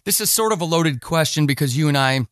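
A man with a slightly high voice, recorded close and dry. He hosts a casual podcast interview and speaks haltingly, reworking his questions as he talks. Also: lively intonation, fairly bright tone, dry recording. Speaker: podcast host interviewing a writer.

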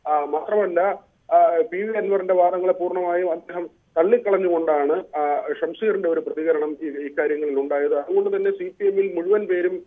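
Over a narrow, tinny phone line, a male news reporter delivers live political analysis. His voice is fairly high, and he often halts on filled pauses. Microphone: phone call.

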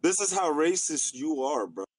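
A man's voice: a little high, very animated, normal speed, a loud crowd, low-quality recording. A man calling in to a radio show, arguing back in a laid-back way and telling the host to relax. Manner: laid-back, keeps saying relax, laughs off insults. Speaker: caller. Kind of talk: call-in argument.

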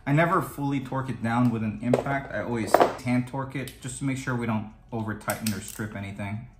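A man's voice: mid-range voice, a little fast, very noisy. A man giving a plain, step-by-step voice-over as he walks through a scooter repair. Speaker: DIY scooter builder. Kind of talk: tutorial voice-over.

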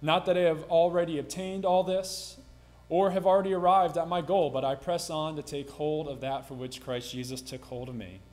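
A man's voice: on the high side, steady tone, normal speed, a little mellow. A man in his thirties, a pastor, reading scripture and then preaching a sermon in a small church sanctuary, talking steadily with frequent ums and restarts.

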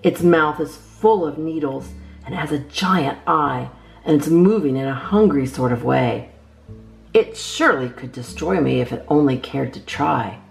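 A woman's voice: deep voice, measured pace, vocal fry, soft background music, poor audio. A woman hosting a storytime, reading children's poems aloud expressively with theatrical emphasis. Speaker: storytime host reading a picture book.

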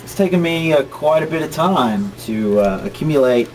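A man in his thirties giving mock-serious advice in a casual, deadpan, hesitant way. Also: fairly high pitch, normal speed. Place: small office study carrel, seated back. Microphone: handheld camera at short distance. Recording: slightly noisy camera audio.

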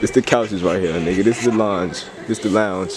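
A man's voice: deep voice, brisk, over music. A nineteen-year-old man vlogging in a shopping mall, laughing and joking in casual slang as he asks strangers questions on a handheld camera. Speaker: vlogger asking prank questions. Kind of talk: casual street vlog.

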